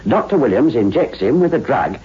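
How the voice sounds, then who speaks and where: Casting: London police detective, radio play. Brisk, chatty, amused, very animated intonation. Dull old-radio sound. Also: male voice, medium pitch, breathy edge. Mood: brisk explaining. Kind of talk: 1960s radio drama acting. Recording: muffled, hissy 1960s radio recording.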